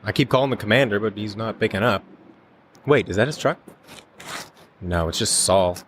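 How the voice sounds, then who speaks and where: An actor playing a young, inexperienced army lieutenant, bewildered and exasperated, in an audio drama. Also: male voice, lowish voice, moderate pace, lightly acted. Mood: uneasy. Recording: siren and vehicle noise behind.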